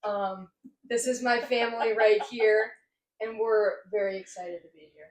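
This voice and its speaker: A woman's voice: a little high, fairly even intonation, moderate pace. A twenty-two-year-old woman introducing herself on a podcast, a little hesitant. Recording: distant, echoey pickup.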